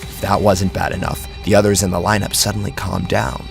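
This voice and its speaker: A man's voice: low-pitched, little pitch movement, a little fast, gravelly. A man narrating a scripted first-person horror story dramatically, close on the microphone. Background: background music.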